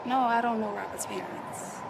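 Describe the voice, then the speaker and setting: A woman's voice: fairly high pitch, fairly even intonation, moderate pace, creaky. An actress playing a guarded, protective young woman in a screen drama, answering evasively. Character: guarded young woman shielding her friend. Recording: loud ambient background noise.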